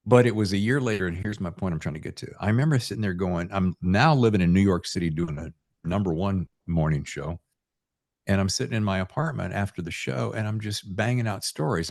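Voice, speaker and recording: An American man hosting a podcast, telling anecdotes in a casual, animated storytelling manner. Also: low-pitched. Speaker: podcast host; former radio morning show host.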